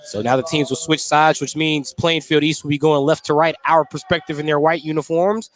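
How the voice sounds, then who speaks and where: A man's voice: fairly high pitch, fairly even intonation, brisk. A man calling a live basketball game on the radio as the play-by-play announcer. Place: at courtside or press position in a gym during a game.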